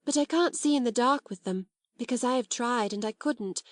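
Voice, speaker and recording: A woman's voice: fairly high pitch, moderate pace, no room sound, clean studio sound, voice pushed up, lightly acted. A woman doing a brave, earnest young schoolgirl. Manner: earnest, fierce when stirred.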